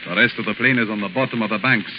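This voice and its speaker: A man's voice: lowish voice, rapid-fire, lightly acted. Man playing an earnest ship's mate, muffled radio. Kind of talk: 1930s radio drama acting. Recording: muffled, noisy 1930s radio recording.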